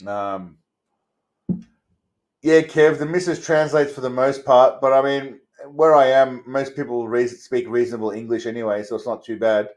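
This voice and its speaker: A man's voice: medium pitch, steady tone, normal speed, a little mellow. Blokey Australian man chatting casually. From Australia, Melbourne suburbs. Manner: blokey, crude, heavy swearing, rambling asides. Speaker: host of a livestream channel. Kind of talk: casual livestream chat answering viewers. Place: indoors.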